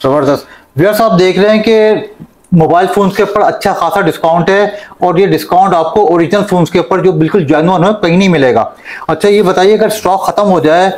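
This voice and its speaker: A middle-aged Pakistani man with a voice pitched a little high. He speaks as a vlog host, addressing viewers and putting questions informally. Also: darkish tone, rough recording. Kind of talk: informal on-camera interviewing. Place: indoor room with display table.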